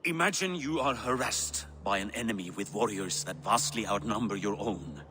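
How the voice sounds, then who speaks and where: An actor playing an older Viking king who speaks in a measured, persuasive, lordly manner. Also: lowish voice, animated, noisy background, lightly acted. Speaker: game character. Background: game score music.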